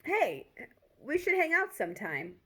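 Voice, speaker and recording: A woman's voice: high voice, wildly swooping pitch, a little slow, pushed and bright, voice pushed up, exaggerated voice. Woman voicing an eager, wordy college guy. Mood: awkwardly flirting.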